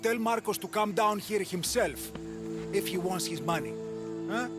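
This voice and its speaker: Nervous defiant merchant, high voice, swoopy pitch, video game with music behind. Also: male voice, lively intonation, normal speed. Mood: defensive. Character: defiant but nervous male merchant in debt.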